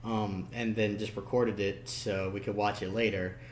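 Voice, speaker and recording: A man chatting casually on a podcast about cartoons and games, halting and peppering his talk with "like". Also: on the low side, level tone. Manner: halting, many "like" fillers. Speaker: podcast guest or co-host. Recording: roomy echo, noisy background.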